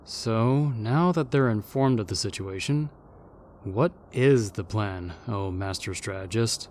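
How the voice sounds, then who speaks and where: A man's voice: on the low side, slow, darkish tone, slight background noise. A man playing a sardonic long-dead ghost in an audio roleplay, speaking formally with dry condescension. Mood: sardonic, condescending. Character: haughty, sardonic long-dead male ghost, named James.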